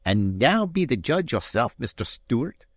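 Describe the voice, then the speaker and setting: A man reading aloud and voicing a proud Highland piper, coolly courteous and needling. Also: very animated, moderate pace, no room sound, clean studio sound. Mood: confident.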